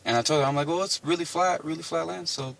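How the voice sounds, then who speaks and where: A man's voice: on the high side, quick pace, vocal fry, fairly bright tone, a little hiss or hum. A young man from Puerto Rico, not a native English speaker, giving casual, hesitant and evasive answers as a driver questioned by police, on body camera audio. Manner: hesitant, hedging.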